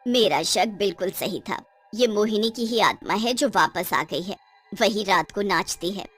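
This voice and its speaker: A woman's voice: medium pitch, measured pace, a bit bright, soft background music. A woman voicing a frightened, guilty middle-aged mother in a story cartoon, muttering worriedly to herself. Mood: anxious dread.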